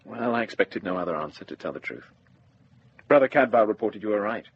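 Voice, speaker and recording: Low-voiced, brisk deputy sheriff. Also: male voice, lively intonation, gravelly, breathy edge, highs cut off, heavily acted. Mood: stern, measured. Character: brisk, shrewd young deputy sheriff with authority. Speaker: actor. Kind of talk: radio drama acting.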